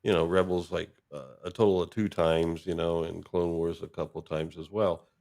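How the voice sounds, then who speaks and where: A man chatting casually about films in a halting way, thinking aloud as he goes. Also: low-pitched, steady tone, vocal fry, a little mellow. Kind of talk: casual film discussion.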